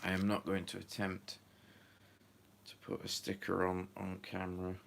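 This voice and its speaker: A man talks loosely through a live stream, full of ums and half-muttered asides as he works. His voice sits very low with a creaky, gravelly edge. He sounds a little stuffy from a runny nose. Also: monotone, normal speed, a little hiss or hum. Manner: rambling, filler-heavy, mutters to himself while working. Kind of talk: casual live-stream chat while building.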